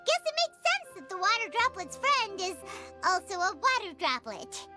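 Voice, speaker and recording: Very high, squeaky little fairy companion. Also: female voice, sing-song, measured pace, heavily acted. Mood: excited. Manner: speaks of self in third person, exclaiming. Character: small excitable floating fairy companion girl. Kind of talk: video game voice acting. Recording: music behind the voice.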